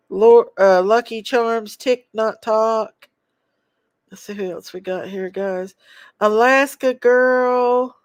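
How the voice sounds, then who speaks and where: A woman's voice: fairly high pitch, no echo. A woman, stuffed up with a cold, hosting a casual livestream chat and reading out viewer names. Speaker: livestream host.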